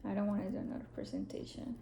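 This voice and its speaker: A woman whose first language is Spanish, playing a counselor in a role-played therapy session, asking gentle questions with many pauses and ums. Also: medium pitch, sing-song, gravelly, a little hiss or hum. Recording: roomy echo.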